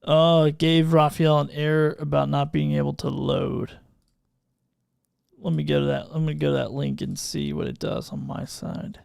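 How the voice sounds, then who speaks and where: A man with a slightly high voice and a clearly creaky edge hosts a casual livestream. He talks off the cuff and thinks aloud in an informal way. The sound is crisp and clean. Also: flat delivery, moderate pace, darkish tone, no echo, clean studio sound. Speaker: livestream podcast host. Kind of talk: casual unscripted livestream chat. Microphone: podcast microphone through audio mixer.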